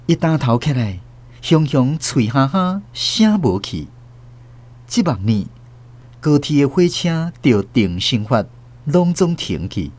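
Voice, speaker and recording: Casting: old Taiwanese man recalling lost love, emotive literary narration, animated mid-range pitch. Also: takes it slowly. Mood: wistful, stirred. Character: elderly Taiwanese grandfather recalling a lost love, tender, wistful. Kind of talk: scripted radio drama narration.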